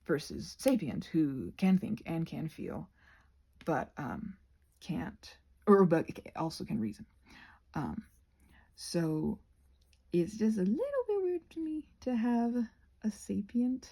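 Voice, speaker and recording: A woman's voice: medium pitch, vocal fry, dark tone, husky. A woman chatting casually and at length in a digressive way, often correcting herself and restarting her thoughts. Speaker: host of a rambling craft vlog. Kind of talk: casual rambling chat.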